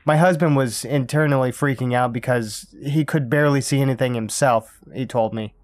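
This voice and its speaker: Calm man narrating a scary story. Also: medium pitch, moderate pace, no room sound. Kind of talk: scripted horror story narration.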